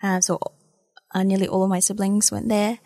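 A woman's voice: mid-range voice, little pitch movement, normal speed, warm tone. A woman in her twenties from Malaysia, answering interview questions casually and hesitantly, with many ums and uhs. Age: 20s. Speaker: interview guest.

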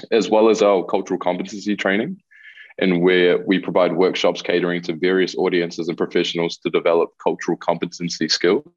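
A man's voice: deep voice, highs cut off. A young man in his twenties with a New Zealand accent, a guest on a remote interview, earnestly explaining his work in long listed points. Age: 20s. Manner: earnest, listing points, reads prepared remarks. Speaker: guest, youth mentor. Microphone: video call.

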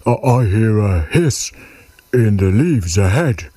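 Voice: medium pitch, animated, slow, warm tone, breathy edge, no room sound, voice pushed up. A performer voicing a big, gullible storybook monster, lumbering and easily amused, stumbling over repeated words. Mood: amused, wondering. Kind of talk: storybook character voice.

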